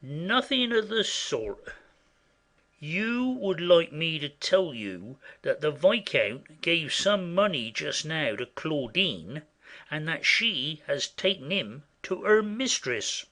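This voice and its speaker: Smug dimwit servant in an old comedy, stubborn, sharp highish voice, drags words out. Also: male voice, sing-song, slow, heavily acted. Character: foolish, stubborn manservant who thinks himself clever. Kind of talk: classic comedy audio play acting.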